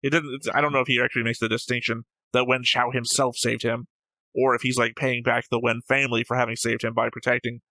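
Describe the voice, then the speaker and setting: A man's voice: mid-range voice, level tone, quick pace, bright, forceful tone, no echo, poor audio. A man co-hosting a reaction show, talking casually and argumentatively, picking apart and correcting what his co-host says.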